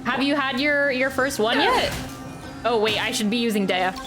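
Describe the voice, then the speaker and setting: Girl streamer reacting to her game, kinda high voice, chatting casually, faint game music behind. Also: moderate pace. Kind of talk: live gameplay reaction commentary; casual chat. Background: video game music.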